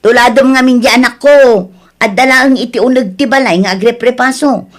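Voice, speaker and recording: A woman's voice: a little high, normal speed, natural voice. A woman with an Ilocano accent playing a boastful, scolding mother who mocks the neighbours' children in an audio drama. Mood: accusing, scolding. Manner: haughty, scolding, gossipy.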